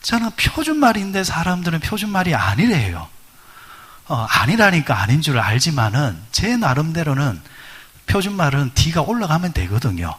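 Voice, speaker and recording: A man's voice: fairly high pitch, huge pitch swings, normal speed, fairly bright tone, husky. A middle-aged man preaching a sermon at a midweek prayer service. He talks conversationally, asks the congregation questions, and uses many fillers. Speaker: pastor.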